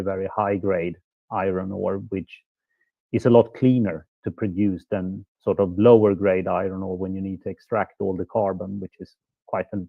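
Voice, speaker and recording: A man with a low voice asks long, measured questions at a panel discussion. His sound is dry and narrow, as if over a phone line. He speaks courteously and builds his point slowly. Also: creaky, dry recording. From Sweden. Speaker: panel guest asking questions.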